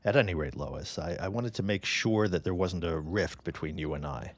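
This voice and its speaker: An actor playing a courteous, earnest gentleman in a period audio drama, with a genial, expressive manner. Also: low voice, moderate pace. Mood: earnest, confiding. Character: courteous, earnest widowed man, eager suitor, 1910s drama.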